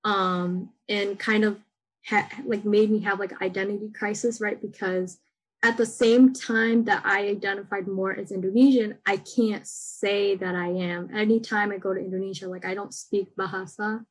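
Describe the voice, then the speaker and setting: A young woman in a mid-pitched voice speaks as a guest on an interview. She talks in a relaxed, rambling way, full of filler words. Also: moderate pace, poor audio. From Michigan, United States. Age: young adult. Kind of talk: casual interview talk.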